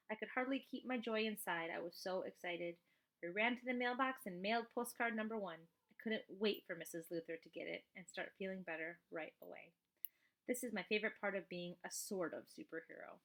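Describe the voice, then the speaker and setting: Bright-voiced woman reading a children's story aloud. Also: mid-range voice. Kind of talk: expressive read-aloud with casual asides.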